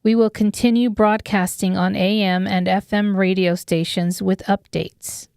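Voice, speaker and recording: A woman's voice: medium pitch, steady tone, measured pace, gravelly, a little mellow, smooth, dry recording, studio quality. A woman reading scripted narration of an apocalyptic horror audiobook. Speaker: author narrating her audiobook.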